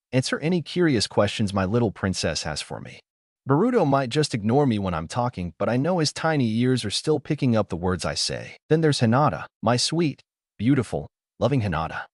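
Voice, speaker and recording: A man's voice: medium pitch, a little mellow, no echo, studio quality. A man reading a fan fiction story aloud in the first person, earnest and reflective. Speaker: story narrator.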